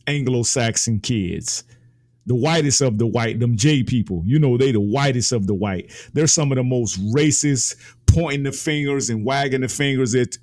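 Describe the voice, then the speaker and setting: Man, American accent, mid-pitched voice, political commentator, emphatic delivery, repeated phrases, opinionated. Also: a little mellow. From United States.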